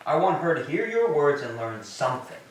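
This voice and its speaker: A man's voice: a little high, big pitch swings, brisk. A man playing a vengeful, commanding ancient Greek king and wronged husband in a staged tragedy reading, declaiming imperiously. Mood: grudging. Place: church, live staged reading. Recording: echoey church, distant mic, faint noise.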